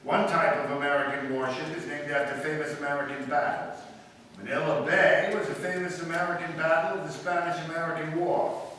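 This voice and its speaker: A man playing a brisk lecturing radio detective. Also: on the high side, little pitch movement, fairly bright tone, lightly acted. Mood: explaining. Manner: crisp, lecturing, building to emphatic points. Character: brisk clever male amateur detective explaining a case. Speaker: amateur radio actor. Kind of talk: live old-time radio drama reading. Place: live stage performance in a hall. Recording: echoey hall from far off, faint hiss.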